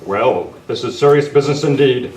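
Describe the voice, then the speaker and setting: A man's voice: medium pitch, normal speed, a little mellow, close to an everyday voice. Guy doing upright masked western hero, plain proper talk, radio play, echoey room w/ hiss. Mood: earnest. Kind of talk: amateur old-time radio western acting. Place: club meeting room, far camera. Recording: echoey, distant camera sound, clear noise.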